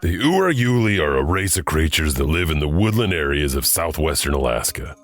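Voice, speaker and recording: A man's voice: extremely low pitch, sing-song, normal speed, faint music behind. A man narrating and hosting an anthology of legends in a scripted, musing storytelling manner. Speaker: narrator and host.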